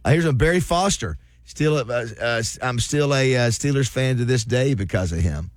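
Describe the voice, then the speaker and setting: A man's voice: mid-range voice, animated, normal speed, dry recording. Man, sports talk host, chatty, reads listener texts, dry studio sound. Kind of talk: casual sports talk reading listener messages.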